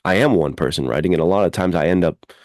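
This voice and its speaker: A man's voice: deep voice, fast talker, a little mellow, no room sound, clean studio sound. A man co-hosting a podcast in casual chat, with an informal, effusive, enthusiastic way of talking. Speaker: podcast co-host and fiction writer.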